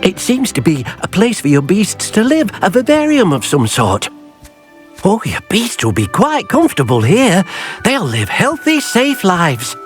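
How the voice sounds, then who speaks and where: Small fussy house elf, lively up-and-down delivery, polite, game music faint behind. eager and servile. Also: male voice, sits high, measured pace, heavily acted. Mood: delighted. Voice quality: talks of himself in third person. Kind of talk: video game voice acting.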